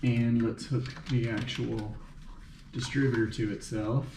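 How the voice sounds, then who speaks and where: A man with a mid-pitched voice talks his way through a mechanical job as if to himself. His delivery is casual and unscripted, thinking aloud as he goes. There is clear noise behind him. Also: fairly even intonation, measured pace. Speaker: home mechanic video host. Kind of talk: casual think-aloud commentary while working on a car. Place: working at a car, single uncut recording.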